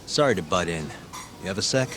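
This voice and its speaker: A man playing a laid-back, deadpan private detective in a video game dub. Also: deep voice, brisk. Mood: casual.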